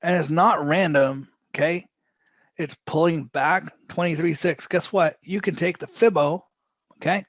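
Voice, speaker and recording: A man teaching a live trading session, his voice going hoarse as he talks, with loose, rambling phrasing, restarts and frequent throat clearing. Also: a little high, fairly even intonation, a little slow, no echo. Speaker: trading instructor.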